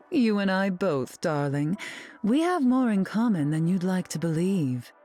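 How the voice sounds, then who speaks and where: A haughty society queen from a video game. She is arch and imperious, with a mid-pitched voice that swings elegantly through its range. Soft music plays behind her sardonic lines. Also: very animated, a little slow. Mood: sardonic, amused. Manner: imperious, arch, drawling endearments. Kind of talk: video game character dialogue.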